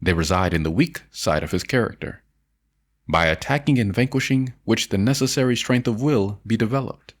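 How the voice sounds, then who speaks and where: A man narrating an audiobook of an old self-help essay in a solemn, deliberate manner, stressing phrases and drawing out their endings. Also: on the low side.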